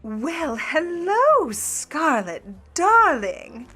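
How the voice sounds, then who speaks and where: Woman playing an arch, high-voiced scheming starlet. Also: sing-song, very slow, bright, forceful tone, faint music behind, full character voice. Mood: gushing, barbed. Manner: arch, syrupy politeness. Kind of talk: 1950 noir radio-play acting.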